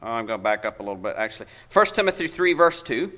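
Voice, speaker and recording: A man's voice: mid-range voice, sing-song, fast, pushed and bright. A man preaching an informal Bible teaching sermon in a church, conversational and hesitant, thinking aloud with many fillers.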